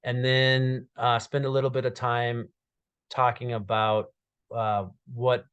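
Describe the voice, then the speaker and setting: A man in his thirties from Minnesota who stutters, telling his personal story to a webcam in a small dark room, with repeated sounds, blocks and many fillers. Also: medium pitch, flat delivery, takes it slowly, smooth, lo-fi sound. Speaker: guest speaker. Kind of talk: personal story told to camera.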